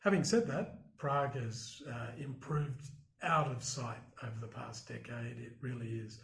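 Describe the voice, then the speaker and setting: A man answering interview questions in a measured, reflective way, pausing with frequent ums and restarts. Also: mid-range voice, gravelly, a bit bright, reverberant. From Australia. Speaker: interviewee, broadcast journalist.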